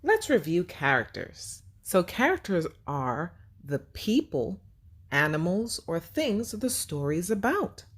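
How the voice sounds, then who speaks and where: A woman's voice: fairly low pitch, big pitch swings, slow pace, breathy edge. A woman hosting a children's read-aloud and lesson, speaking expressively and addressing young listeners directly.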